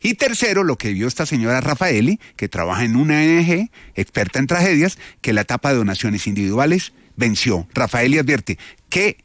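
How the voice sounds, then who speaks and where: A man's voice: medium pitch, big pitch swings, a little fast, a bit bright, dry recording, studio quality. A man from Colombia hosting an afternoon radio talk show, chatting and reading news items aloud. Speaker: radio host.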